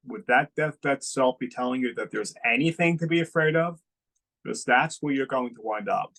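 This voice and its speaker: A man giving an unscripted spiritual talk as a teacher, slow and reflective, often putting questions to his listeners. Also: medium pitch, fairly bright tone, low-quality recording.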